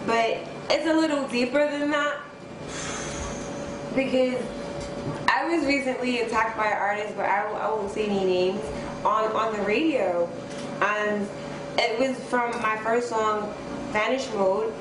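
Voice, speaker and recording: A woman with a Trinidadian accent tells a story in an animated way, laughing and swearing as she goes. Her voice sits fairly high. The room echoes, and steady noise hangs underneath. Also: unhurried, long pauses. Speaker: guest musician. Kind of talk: casual interview chat.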